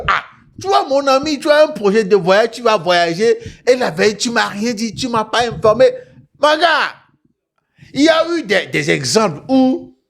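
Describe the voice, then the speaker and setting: A man's voice: high voice, gravelly, fairly bright tone. A man with a West African French accent hosting an online talk show, telling stories emphatically, repeating phrases and punctuating them with questioning tags. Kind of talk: animated storytelling commentary to viewers.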